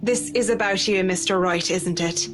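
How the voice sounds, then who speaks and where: A woman's voice: mid-range voice, moderate pace, over music, lightly acted. An actress playing an earnest, independent young working woman in a video game, polite and candid with a slightly hesitant manner. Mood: candid, measured. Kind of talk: video game character acting.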